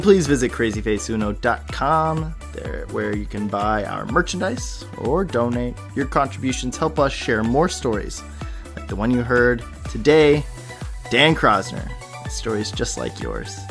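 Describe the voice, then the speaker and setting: A man's voice: medium pitch, big pitch swings, measured pace, vocal fry, soft background music. A man hosting a podcast in an informal, encouraging, conversational way. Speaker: podcast host. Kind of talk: casual interview chat.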